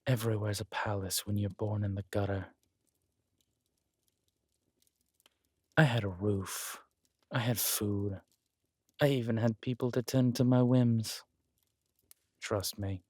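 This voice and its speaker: Man playing a slow, suave villain husband. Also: lowish voice, darkish tone, no echo. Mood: wry. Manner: suave, aristocratic, deliberate, with breathy near-whispered endearments. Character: smooth, scheming villain husband, usurper of a kingdom. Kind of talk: intimate roleplay audio drama acting.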